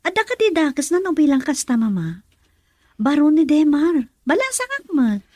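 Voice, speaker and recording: A woman's voice: high-pitched, very animated, normal speed, darkish tone, no room sound, clean studio sound. An actress playing an earnest young woman in love, a daughter who stands by her choices, in a radio drama. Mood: pleading, defiant.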